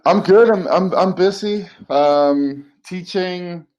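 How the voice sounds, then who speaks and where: A man talking as an academic podcast co-host, explaining things informally in a halting, thoughtful way. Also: high voice, a little mellow, muffled recording.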